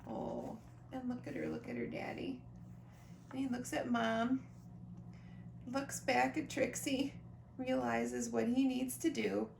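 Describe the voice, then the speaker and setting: A woman reading a children's picture book aloud expressively, pausing to talk directly to young listeners about the pictures. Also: fairly high pitch, flat delivery, creaky, a little mellow. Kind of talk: animated children's storybook read-aloud with asides. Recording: roomy echo, faint background noise.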